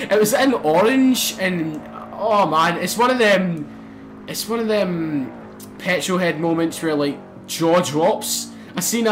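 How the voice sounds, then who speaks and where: A young man talks over a racing game in a slightly high voice, rambling, excitable and quick to swear. He keeps breaking into loud exclamations, with faint game music behind him. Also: poor audio. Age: young adult. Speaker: gaming channel host. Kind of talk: let's play commentary.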